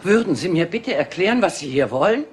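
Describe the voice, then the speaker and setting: A proud, aging film diva in a radio crime play, haughty and quick to take offense. Her pitch rises and falls in an expressive, lively way. A faint hiss runs behind the voice. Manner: haughty, indignant. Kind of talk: German radio crime drama.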